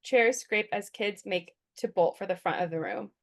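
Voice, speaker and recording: Narrator for table read: woman, medium pitch, reads scripted stage directions. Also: normal speed.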